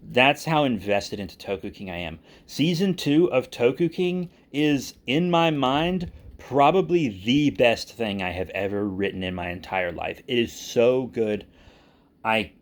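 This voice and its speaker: A man speaking casually and unscripted as a channel host, candid and self-deprecating, with halting delivery. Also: medium pitch. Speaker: audio drama creator and channel host. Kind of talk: casual unscripted vlog talk.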